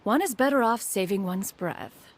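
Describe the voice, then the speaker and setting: A woman's voice: medium pitch, lively intonation, moderate pace, background noise, natural voice. An actress voicing a proud, aloof ancient female immortal who speaks formally and calls herself "one". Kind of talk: game dialogue. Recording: soft game music behind.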